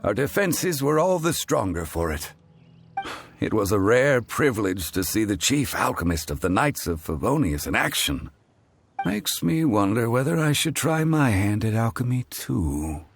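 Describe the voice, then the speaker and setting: Gravelly, admiring game townsman. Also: on the low side, big pitch swings, moderate pace. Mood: impressed, musing. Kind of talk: video game dialogue.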